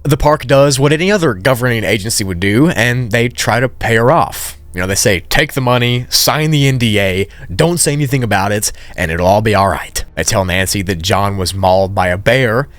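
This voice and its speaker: A man's voice: on the low side, expressive, normal speed, hardly any pauses, a bit bright, dry recording. A man narrating a story recap in a casual, conversational way with informal asides.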